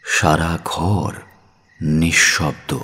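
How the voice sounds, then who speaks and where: A man narrating a dramatic audio story in a theatrical manner, with suspenseful pauses between phrases. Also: a bass voice, fairly even intonation, pushed and bright, breathy edge. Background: background music.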